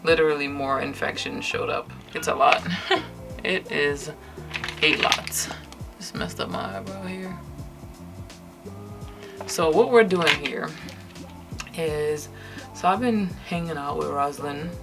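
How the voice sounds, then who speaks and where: A woman's voice: sits low, slow pace, pauses often, over music. A tired young woman from Trinidad and Tobago with a light Trinidadian accent, chatting candidly to her camera while she does her makeup at home, with lots of fillers and restarts. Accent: Trinidadian English, lightly. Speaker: vlogger. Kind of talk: casual chat to camera while doing makeup. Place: indoors at home, night.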